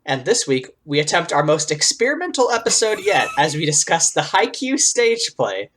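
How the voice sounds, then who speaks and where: A woman's voice: low-pitched, big pitch swings, normal speed, fairly bright tone, a little hiss or hum. A woman co-hosting a casual comedy podcast, chatting in a giggly way with playful exasperation. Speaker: podcast co-host.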